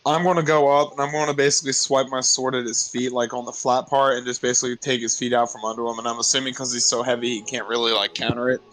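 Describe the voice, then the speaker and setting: A man's voice: mid-range voice, flat delivery, quick pace, few pauses, fairly bright tone, low-quality recording. A man casually chatting as a player in a tabletop roleplaying game, planning his moves aloud.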